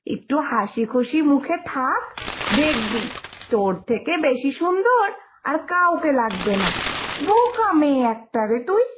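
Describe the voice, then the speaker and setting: A woman voicing a sensible elder sister-in-law in a cartoon story, gently scolding and reassuring. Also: sits high, big pitch swings, unhurried, noisy background. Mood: chiding, reassuring. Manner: chiding, reassuring. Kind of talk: Bengali animated moral story dialogue. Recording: music behind the voice.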